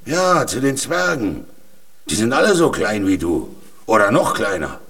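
Husky, deep-voiced, weary male vagabond. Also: wildly swooping pitch, fairly bright tone, recorded from a distance, heavily acted. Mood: advising. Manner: resigned, slow to be impressed, plain-spoken. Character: resigned, weary old male vagabond in a jail cell. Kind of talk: children's radio play acting.

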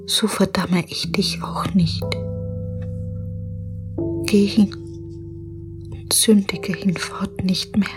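An actress playing a guilt-ridden, anguished poor woman at prayer in a German radio drama, whispering and breathy. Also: medium pitch, steady tone, over music. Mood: hushed, fearful reading. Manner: very slow, halting, fervent.

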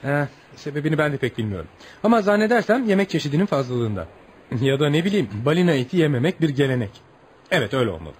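An actor playing a polite, curious American traveller in a radio play, theatrical and musing, with amused asides to himself. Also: male voice, on the high side, sing-song, quick pace, slight background noise. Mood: amused, explaining.

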